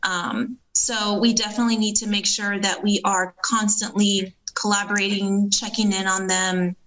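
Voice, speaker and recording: A woman's voice: medium pitch, normal speed, low-quality recording. Earnest woman guest with many ums. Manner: earnest, steady, frequent ums. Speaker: podcast guest, business owner. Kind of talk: interview answers.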